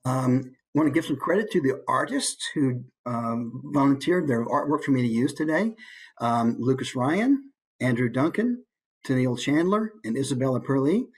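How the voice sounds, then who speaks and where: A man speaking as a voice actor giving an informal instructional presentation, animated and demonstrating points with his voice. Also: medium pitch, moderate pace, poor audio.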